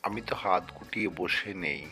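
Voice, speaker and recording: Placating cartoon husband played by a man: low, forceful voice, dismissive reassurance, light music behind. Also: brisk. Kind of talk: Bengali children's folktale cartoon acting.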